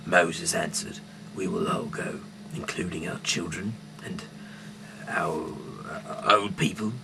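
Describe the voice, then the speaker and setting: A man reads scripture aloud in a very low, creaky voice. He stumbles and hesitates, leaning hard on some phrases and letting others trail into a murmur. The amateur recording has clearly audible noise behind him. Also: fairly even intonation. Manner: halting, stumbling over words, with sudden loud emphasis. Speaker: amateur Bible reader. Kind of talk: reading scripture aloud with off-the-cuff asides.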